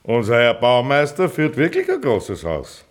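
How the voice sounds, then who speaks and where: An actor playing a jovial, self-important middle-aged small-town mayor in a radio drama, with a pompous, chummy manner. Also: male voice, mid-range voice, wildly swooping pitch, measured pace. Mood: jovial, patronizing.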